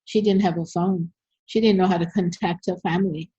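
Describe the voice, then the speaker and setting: An older woman from Sri Lanka with a low, mellow voice and a husky edge. She tells stories in a relaxed, chatty way, full of "you know" and "um". The sound is dry and a little dull. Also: brisk, dry recording. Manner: storytelling, frequent fillers. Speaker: guest. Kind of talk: conversational interview.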